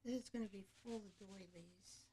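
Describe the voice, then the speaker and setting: A woman with a soft, low voice hosting a relaxed craft-along show, chatting to herself as she works, picked up by a camera microphone right in front of her. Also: moderate pace, slight background noise. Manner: soft, low-voiced, thinking aloud while working.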